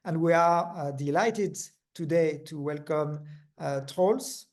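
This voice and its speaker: A man's voice: fairly high pitch, takes it slowly, darkish tone. A man with a Danish accent, co-hosting an interview, talking hesitantly with many fillers. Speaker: co-host, management consultant.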